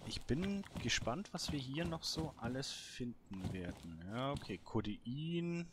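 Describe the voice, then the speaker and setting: A man giving casual let's play commentary as host, thinking aloud in short, halting phrases. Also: mid-range voice, sing-song, background noise. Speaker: host of a gameplay series.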